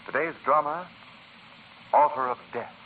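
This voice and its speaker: A man's voice: a little high, a little slow. A man announcing in a scripted 1940s radio style, as narrator and sponsor's spokesman. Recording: tinny, noisy 1940s radio recording.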